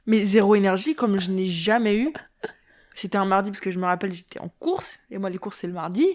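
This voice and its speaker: A woman's voice: mid-range voice, fairly quick, no room sound, studio quality. Chatty French woman podcast host. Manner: rambling, chatty, laughs often. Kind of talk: casual chat.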